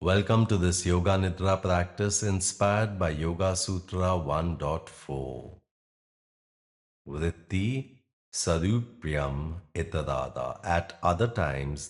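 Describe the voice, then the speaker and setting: A man with a deep voice leads a guided meditation as a yoga nidra teacher. He speaks very slowly, giving gentle instructions in short phrases. Long pauses separate his words.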